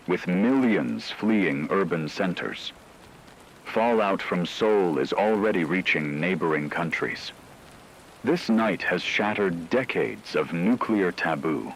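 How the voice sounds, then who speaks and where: Guy playing a deep solemn radio anchor doing war bulletins, measured and formal, noisy rainy broadcast. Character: grave male radio anchor reporting a world war. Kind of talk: scripted emergency news broadcast.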